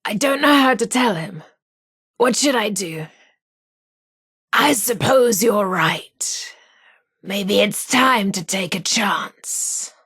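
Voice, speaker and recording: An actress with a husky, breathy voice, playing a shy but sassy, lovestruck radio DJ in a video game. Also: female voice, medium pitch, animated, takes it slowly, pauses often, a bit bright, no room sound, full character voice. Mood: nervous, hopeful. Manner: informal, slangy, hesitant about feelings. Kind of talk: video game character dialogue.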